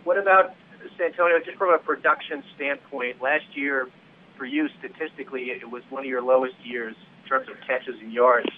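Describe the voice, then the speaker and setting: A man's voice: medium pitch, normal speed. A male sports reporter on a conference call, pressing with follow-up questions. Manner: persistent, presses follow-ups. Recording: phone line with faint hiss.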